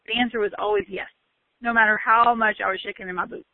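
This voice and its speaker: A woman giving a motivational talk with a loud voice, stretching out key words for emphasis. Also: mid-range voice, fairly even intonation, no echo. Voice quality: loud, bellowing voice. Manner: emphatic, drawn-out stresses. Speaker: motivational speaker. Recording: tinny phone-like line, dry.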